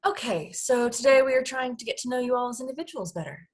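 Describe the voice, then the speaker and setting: A woman's voice: a little high, animated, quick pace. Woman playing a brusque, condescending alien boss. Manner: blunt, dismissive. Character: brusque, condescending alien overseer, easily bored. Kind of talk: table read of a comedy script.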